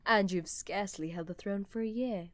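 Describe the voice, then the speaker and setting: A woman playing a smug, condescending older empress in a fantasy audio roleplay, with measured, deliberate delivery. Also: mid-range voice, no room sound, natural voice. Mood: mocking. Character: haughty, older, condescending female ruler of a vast empire.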